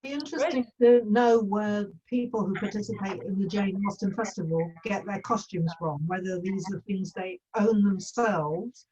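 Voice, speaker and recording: Female, husky and slightly rough, medium pitch, informal meeting contributor. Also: moderate pace, few pauses, dark tone, muffled recording.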